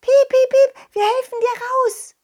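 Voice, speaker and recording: A woman voicing tiny, excitable, playful mice with a thin, squeaky voice that peeps between phrases in a children's audio play. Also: a little slow. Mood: urgent, helpful. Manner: peppers talk with peeping noises.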